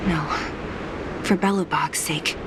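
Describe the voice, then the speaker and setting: A woman's voice: fairly low pitch, steady tone, slow pace, very noisy. A woman playing a tough young female fighter in a video game cutscene, plain-spoken, guarded and terse. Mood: brisk resolve. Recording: orchestral music behind. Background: orchestral string music.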